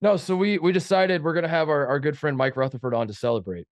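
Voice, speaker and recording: A man's voice: a little high, fast, no echo, dull audio. A man co-hosting a sports podcast while fighting a head cold, sounding nasal as he rambles and jokes at his own expense. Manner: rambling, joking, self-mocking. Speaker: podcast co-host. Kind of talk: casual sports chat.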